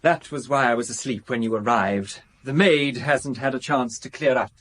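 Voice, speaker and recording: Guy playing fussy polite decorator in his 30s, bit husky, mid pitch, radio drama. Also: normal speed, a bit bright, close to an everyday voice. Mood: fussy, obliging. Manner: precise, politely stiff, fussy. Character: fastidious, shy, genteel male architect-decorator in his thirties.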